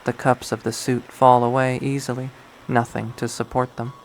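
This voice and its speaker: A man reading a visual novel aloud as dramatic first-person narration, in a measured way. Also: medium pitch, little pitch movement, low-quality recording. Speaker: game player reading aloud. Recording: faint background noise.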